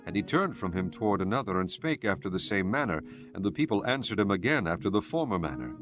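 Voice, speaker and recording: Low-voiced man narrating scripture with animated range. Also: soft background music. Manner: solemn, measured storytelling.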